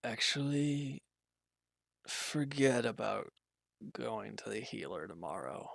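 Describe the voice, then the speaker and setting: A man acts out an injured villain in an intimate roleplay audio drama. The voice is low and heavy with creak, recorded dry and close. The delivery is weary and halting, guarded and grudging, yet quietly considerate. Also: expressive, dry recording, exaggerated voice. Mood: weary, reluctant.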